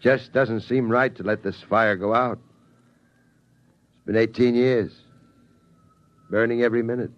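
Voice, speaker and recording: A man's voice: mid-range voice, steady tone, a little slow, long pauses, lightly acted. An actor playing a weary, wistful middle-aged father of a survivor family in a 1950s radio drama, speaking hesitantly. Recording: dull old radio broadcast recording.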